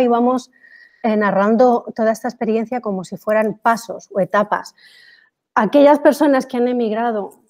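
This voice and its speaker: A woman giving an academic lecture, pausing often with fillers and restarts and asking rhetorical questions. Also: mid-range voice, darkish tone. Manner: hesitant, many fillers and restarts; reads quotes aloud, asks rhetorical questions.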